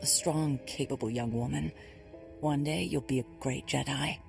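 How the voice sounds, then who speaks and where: A creaky-voiced Jedi master for a sci-fi game. He is solemn, weary and kindly, speaking formally and with measured care. Music plays clearly behind him. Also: mid-range voice, steady tone. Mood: fond, assuring. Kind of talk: video game voice acting.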